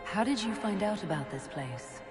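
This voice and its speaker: A woman's voice: medium pitch, animated, moderate pace, natural voice. A woman voicing a young elven mage leader in a video game cutscene, questioning and curious. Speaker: player character. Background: game soundtrack music.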